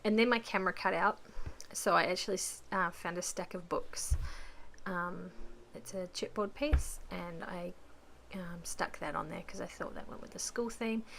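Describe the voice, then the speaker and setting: A woman with a croaky voice chatting casually through a voice-over about her crafting, rambling with lots of ums. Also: lowish voice, normal speed, fairly bright tone, slight background noise. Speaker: scrapbooking vlogger.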